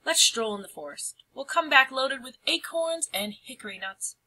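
A woman's voice: high voice, animated, normal speed, pushed and bright, voice pushed up, heavily acted, rough recording. A woman voicing an enthusiastic, dreamy male town mouse in a storybook, savouring each phrase. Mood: proud.

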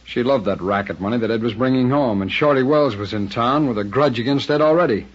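A man's voice: medium pitch, fairly quick, breathy edge, close to an everyday voice. An actor playing an earnest man fighting the town's rackets in an old radio drama. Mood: grim. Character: earnest male town lawyer fighting the rackets. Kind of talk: 1940s radio acting. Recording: dull lo-fi old radio recording.

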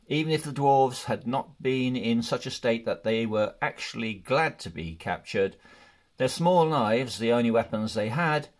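A man reads a story aloud as an audiobook narrator. He takes his time, with a very unhurried pace and deliberate phrasing, at a medium pitch. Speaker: audiobook reader.

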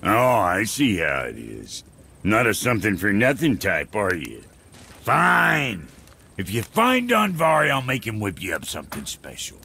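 An actor playing a brash, grumbling dwarf blacksmith in a video game, with a folksy, blunt delivery. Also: male voice, lowish voice, huge pitch swings, a little slow, creaky, pushed and bright, slightly rough, exaggerated voice. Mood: grumbling, needling. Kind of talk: video game character acting.

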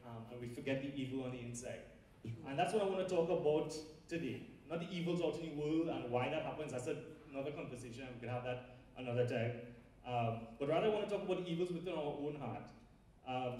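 A man's voice: medium pitch, dark tone, a little hiss or hum. Trinidadian man preaching in an echoey hall. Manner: conversational, with fillers and asides. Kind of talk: sermon. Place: large lecture hall with a big audience. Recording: very echoey hall, voice heard from far off.

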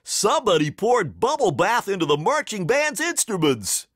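A man's voice: mid-range voice, animated, measured pace, fairly bright tone, no room sound, studio quality. A man voicing an indignant, baffled sports fan exclaiming from the bleachers in a storybook. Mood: indignant, puzzled.

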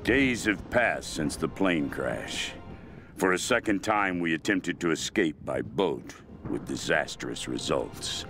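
A sinister, calculating castaway in a video game. His voice is extremely low and creaky, with theatrical, swooping intonation. He narrates his journal with brooding deliberation. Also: animated, takes it slowly, full character voice. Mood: grim, brooding. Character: cold, calculating stranded male castaway, sinister and obsessive. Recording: music behind the voice.

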